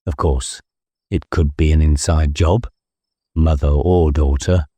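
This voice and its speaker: Low, dark-toned male audiobook narrator. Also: steady tone, slow, breathy edge, no room sound. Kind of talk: dramatic scripted novel narration.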